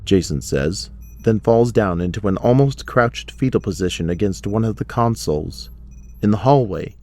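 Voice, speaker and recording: A man's voice: fairly low pitch, expressive, moderate pace, mellow, soft background music. A man reading scripted narration for an audio drama.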